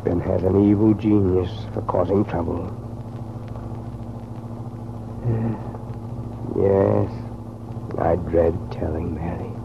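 An actor playing a grave, regretful man in an old-time radio serial, speaking in an earnest, measured way. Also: sits low, steady tone, vocal fry, heavily acted. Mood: brooding. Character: grave, regretful man, troubled family friend. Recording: muffled, noisy old radio recording.

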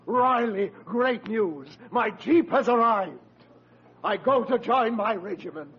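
An actor playing a pompous, shifty older uncle with florid, theatrical delivery in 1940s radio comedy style. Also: male voice, high-pitched, lively intonation, takes it slowly, heavily acted. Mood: pompous farewell. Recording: muffled husky vintage 1940s radio recording.

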